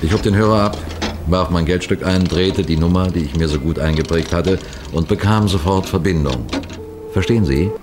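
A deep, low male voice of an actor as a wary police detective, telling his story deliberately with suspenseful pauses. It is a vintage radio crime play with clear background noise. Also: natural voice. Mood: suspenseful, measured. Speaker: narrator and lead character. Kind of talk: 1960s German radio crime drama narration. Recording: noisy vintage 1960s radio recording.

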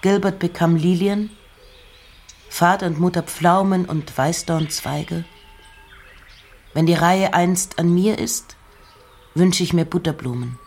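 Low-voiced woman reciting slowly. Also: a little mellow, a little hiss or hum. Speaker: reader of poems and letters. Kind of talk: literary recitation.

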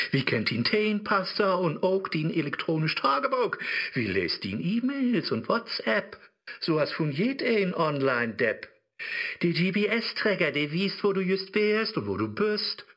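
An actor playing a sly, mocking digital Mephisto in a rhyming radio play, theatrical and taunting, with a Low German accent. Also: male voice, huge pitch swings, measured pace, fairly bright tone, breathy edge, heavily acted. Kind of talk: satirical radio play in verse.

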